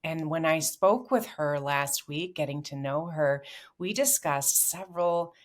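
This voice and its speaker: Low-voiced upbeat woman podcast host. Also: unhurried. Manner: upbeat, encouraging, with frequent affirmations. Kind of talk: scripted intro then casual interview.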